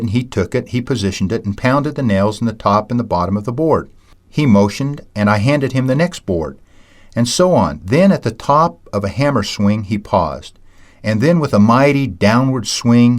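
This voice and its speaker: A man reading a scripted lesson in a measured, storytelling way, teaching communication skills. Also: mid-range voice. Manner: measured, anecdotal, self-described ham. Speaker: audiobook narrator and seminar teacher. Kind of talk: scripted audiobook narration.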